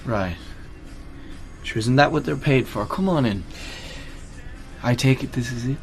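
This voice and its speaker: A man's voice: medium pitch, a little slow, creaky, soft background music. An actor with an Irish accent playing a seriously ill man of thirty, blunt and sardonic, in a naturalistic radio play. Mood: sardonic, easygoing. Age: 30s. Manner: dry, blunt, colloquial.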